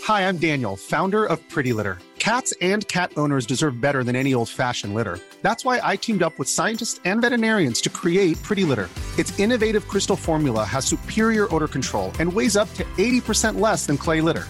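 A man's voice: fairly high pitch, sing-song, fairly quick, hardly any pauses, fairly bright tone, faint music behind. A man reading a scripted advertisement as the company founder, in a salesy way.

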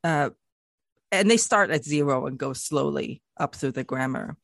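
A speaker in their forties talks through ideas in a thoughtful, explanatory way. The voice sits in a middle pitch. It is recorded close and dry, with no room sound. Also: moderate pace, creaky, a bit bright, no room sound. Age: 40s. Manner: thoughtful, explanatory, with light laughs. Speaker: guest discussing language loss. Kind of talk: reflective podcast conversation.

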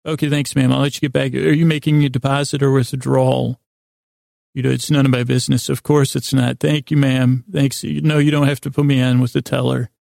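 A man hosting a bedtime podcast in a gravelly, creaky voice, with a goofy, meandering, halting monologue that keeps interrupting itself. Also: medium pitch, little pitch movement, darkish tone, dry recording, studio quality. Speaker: podcast host.

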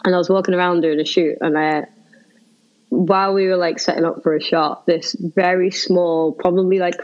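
A woman's voice: fairly low pitch, fairly even intonation, normal speed. A woman, a comedian guest, chatting casually and easily, often laughing along. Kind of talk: casual chat. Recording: dull, lo-fi sound.

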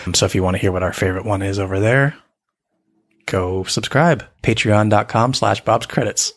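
Relaxed, teasing male podcast co-host. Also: lowish voice, normal speed. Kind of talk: casual chat.

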